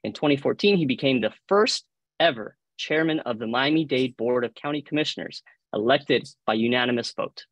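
A man with a Cuban accent reads formal introductions as a panel moderator. His pitch is average and the video-call sound is dry, with little room echo. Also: expressive, a little fast, highs cut off. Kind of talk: formal scripted introductions, reading biographies. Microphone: video call microphone.

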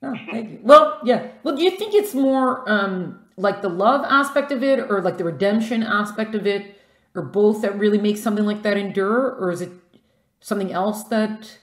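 A woman's voice: medium pitch, lively intonation, moderate pace. A woman hosting a podcast, asking questions in a casual, conversational style, recorded with a roomy echo. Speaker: podcast host interviewer. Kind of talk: casual interview chat. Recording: roomy, echoing sound.

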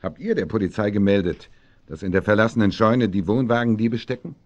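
Actor as a low-voiced commanding policeman. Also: fairly quick, darkish tone, close to an everyday voice. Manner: authoritative, wry. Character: brisk, commanding policeman arresting crooks. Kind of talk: German children's radio play acting. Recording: dull 1970s audio drama recording.

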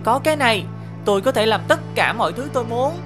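A woman's voice: on the high side, animated, fast talker, music in the background, lightly acted. A woman playing an earnest, eager little boy in a children's cartoon, with a childlike delivery. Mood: downcast, earnest. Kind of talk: animated children's cartoon dubbing.

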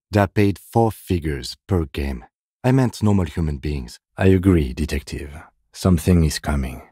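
Dry police detective, very low dark voice, husky, deadpan, patient, video game dialogue. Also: male voice, no echo, heavily acted. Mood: dry, understated. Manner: measured, restrained, deadpan.

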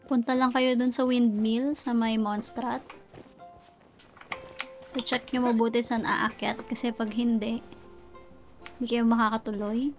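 A woman's voice: on the high side, little pitch movement, moderate pace, long pauses. A woman with a Filipino accent giving casual commentary as she plays through a video game. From Philippines. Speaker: gamer narrating a playthrough. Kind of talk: casual gameplay commentary mixing Tagalog and English. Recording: game music behind the voice. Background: video game music.

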